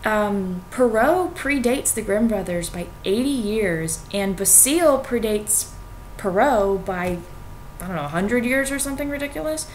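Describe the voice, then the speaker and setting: A woman's voice: medium pitch, animated, a little slow, slight background noise, poor audio. A young adult woman gives an informal explanatory talk to viewers in a conversational, self-deprecating way with colloquial asides. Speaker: student making educational videos.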